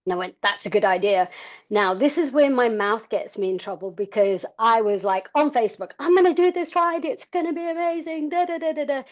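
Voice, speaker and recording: Woman from south africa w/ highish voice, chatting candidly about herself, slightly dull lo-fi audio. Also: brisk. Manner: conversational, frank, self-deprecating. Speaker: podcast guest, endurance cyclist. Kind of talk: candid personal storytelling.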